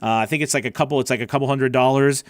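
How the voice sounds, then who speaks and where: A man's voice: mid-range voice, steady tone, rapid-fire, no echo. A man hosting a casual livestream Q&A as a voice-over coach, rambling with many fillers and restarts.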